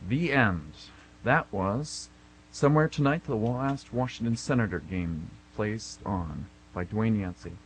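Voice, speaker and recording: A man's voice: on the low side, expressive, unhurried, a little hiss or hum, low-quality recording. A man narrating and announcing for an amateur radio theatre show.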